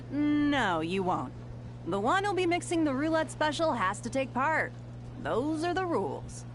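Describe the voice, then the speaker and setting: A woman's voice: high voice, big pitch swings, unhurried, a little hiss or hum. A woman playing a teasing, insistent bar-game regular in a video game. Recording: music under the voice.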